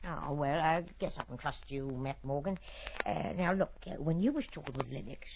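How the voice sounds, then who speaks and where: Radio sidekick: excitable, folksy old-timer, emphatic drawl, dull noisy vintage sound. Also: female voice, low-pitched, moderate pace, creaky. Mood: indignant. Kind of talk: old radio Western acting.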